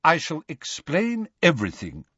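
Casting: elderly male wizard for an audiobook, husky, deliberate and commanding, quietly magisterial acted by a male reader. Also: fairly high pitch, wildly swooping pitch, no room sound, lo-fi sound, pitched above the performer's own voice, exaggerated voice. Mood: calm. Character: commanding, calm, authoritative old male wizard.

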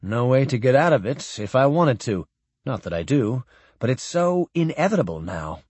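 Man voicing an excited young bride-to-be. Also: medium pitch, moderate pace, slightly rough, no echo. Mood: eager.

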